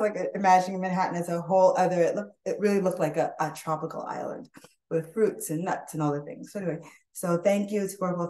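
A young woman chef chatting loosely while she cooks on a live online demo. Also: on the low side. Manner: chatty, loose and animated, talks while cooking. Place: home kitchen. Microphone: webcam on a video call. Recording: echoey kitchen.

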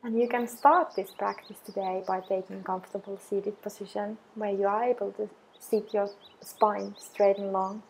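A woman guiding an affirmation meditation in a soothing, measured way, with long pauses between deliberate phrases. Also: medium pitch, flat delivery, gravelly, darkish tone, slightly rough. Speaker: meditation guide.

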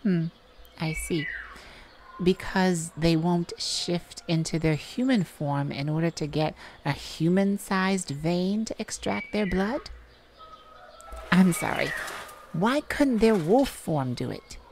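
A woman playing a weary, defiant mage in a dramatic audio roleplay, speaking in a halting, wry way. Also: lowish voice, lively intonation, a little mellow, a little hiss or hum. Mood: resigned, dry. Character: weary, defiant human mage longing for captured mate.

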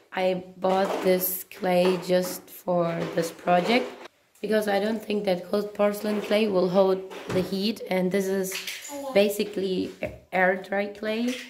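A woman with a deep, husky voice, hoarse from a cold, explaining each step of a craft tutorial, with small slips. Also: noisy background. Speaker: craft channel host.

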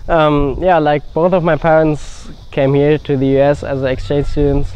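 A fifteen-year-old boy from Germany, an exchange student, answering interview questions in a relaxed way with fillers. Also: a little high, normal speed, over music, rough recording. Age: teens.

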